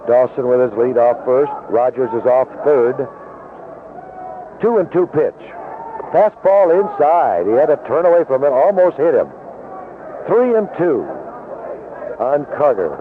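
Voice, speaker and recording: A man calling baseball play-by-play for radio in clipped, descriptive phrases with pauses between plays. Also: medium pitch, expressive. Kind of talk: live sports commentary. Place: outdoor ballpark broadcast booth. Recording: noisy narrow-band 1970s AM radio broadcast.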